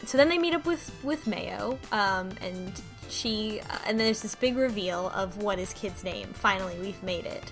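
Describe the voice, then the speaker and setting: A woman co-hosting a casual podcast, recapping a video game in an animated, amused way. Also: medium pitch, moderate pace, hardly any pauses, over music. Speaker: podcast co-host.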